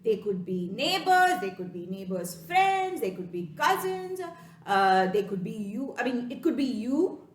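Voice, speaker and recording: A woman with an Indian accent telling a folktale expressively, with dramatic pauses and emphatic repetition, addressing listeners directly. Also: a little high, very animated, a little hiss or hum. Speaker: storyteller. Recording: echoey room sound.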